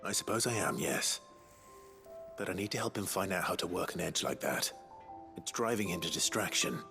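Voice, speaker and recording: Game role: patient outlaw leader, calm, courteous, very deep with a gritty edge. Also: male voice, music in the background. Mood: polite, determined. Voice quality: low, gravelly. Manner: calm, measured, politely spoken. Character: earnest, patient outlaw leader, younger man. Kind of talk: video game voice acting.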